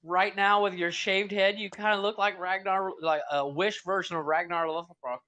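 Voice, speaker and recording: A man's voice: high voice, steady tone, normal speed, sharp tone. A man co-hosting a podcast, chatting loosely, laughing and swearing freely; his first language is Spanish. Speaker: podcast co-host. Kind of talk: casual profane banter.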